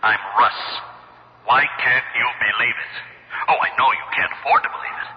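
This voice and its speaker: An actor playing a dead man's ghostly caller, measured, needling and insistent, in an old-time radio drama. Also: medium pitch, steady tone, lo-fi sound, lightly acted. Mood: taunting, insistent.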